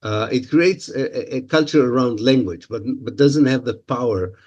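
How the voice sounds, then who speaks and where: A man's voice: medium pitch, moderate pace, a little mellow. A man whose first language is Hebrew, from Israel, answering interview questions with many filler sounds and restarts.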